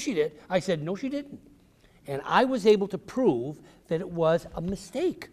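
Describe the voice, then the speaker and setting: American guy talking to a group of kids like a storyteller, really expressive swoopy voice, encouraging. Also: high voice. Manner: theatrical, encouraging, simple emphatic phrasing. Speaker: author and speaker. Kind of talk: storytelling talk to children. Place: public library event before children.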